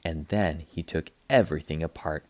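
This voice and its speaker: A man reading a children's picture book aloud as a teacher, with animated, dramatic emphasis. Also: a bass voice, moderate pace, no echo. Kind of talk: expressive children's read-aloud narration.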